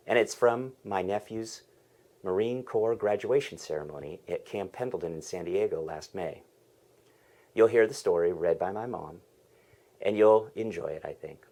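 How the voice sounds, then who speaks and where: A man's voice: low voice, dark tone. A man hosting a podcast, earnestly reading a scripted introduction. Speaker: podcast host.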